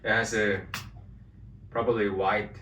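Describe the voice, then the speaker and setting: A man from Korea, speaking English as an in a relaxed, hesitant way and searching for words as a vlog co-host. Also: fairly low pitch, a little slow. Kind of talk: casual chat. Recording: echoey room, distant mic, faint hiss.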